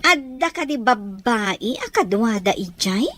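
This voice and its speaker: A woman's voice: a little high, animated, measured pace, a bit bright. A woman playing an anxious, suspicious young wife in an audio drama. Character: suspicious, anxious young wife questioning her partner's absences.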